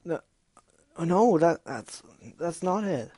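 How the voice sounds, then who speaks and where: A guilty, defensive young pegasus pony, as a man puts her on, stammering and snapping back in a voice pitched clearly above his own. She is loud and strained. The sound is close and dry, like a storybook read at home. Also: animated, slow pace, dry recording, heavily acted. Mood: desperate.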